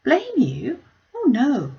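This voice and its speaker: A woman's voice: sits high, huge pitch swings, slow, gravelly, warm tone, dull audio, voice pushed up, exaggerated voice. A woman voicing a kind-hearted, distressed young lady in a classic novel reading, with an earnest, plaintive way of exclaiming. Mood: reassuring.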